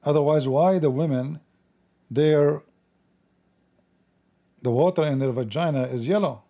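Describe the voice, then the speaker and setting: A man hosting a commentary video in halting non-native English full of restarts, speaking in a mocking tone. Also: on the high side, warm tone. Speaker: channel host. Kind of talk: casual commentary, quizzing an AI assistant.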